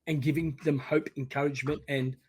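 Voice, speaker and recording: An Australian man in his sixties answers questions as a podcast guest. His voice sits a little high, and he talks with animated energy, often acting out the encouraging voices of parents. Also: fairly quick, husky. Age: 60s. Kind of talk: casual interview.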